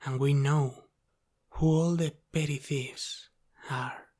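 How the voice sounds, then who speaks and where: A man playing a teasing, menacing Italian-American mafia boss in an intimate ASMR roleplay, speaking in a calm, controlling, halting way that often drops to a whisper. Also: on the high side. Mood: cool, suspicious.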